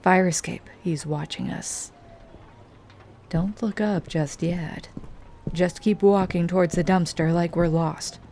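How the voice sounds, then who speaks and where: A woman voicing a hardened female vampire hunter who bosses a rookie around in an audio roleplay, speaking in a low, commanding, teasing way that often sinks to a hush. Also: fairly even intonation, slow, a little hiss or hum. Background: faint ambient soundscape.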